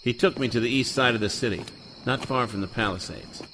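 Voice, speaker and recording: An actor playing a sardonic, deadpan noir detective who both narrates and talks in a radio drama. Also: male voice, mid-range voice, fairly quick, slight background noise, natural voice, poor audio. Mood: wry. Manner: sardonic, deadpan, world-weary. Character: cynical wisecracking hardboiled male noir detective, Joe Smoke.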